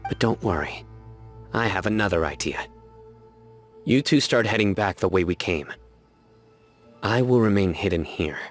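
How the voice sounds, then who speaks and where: An actor voicing a stern, composed young warrior in a game, speaking in a measured, serious way. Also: male voice, fairly low pitch, animated, faint music behind, close to an everyday voice. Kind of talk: game cutscene voice acting.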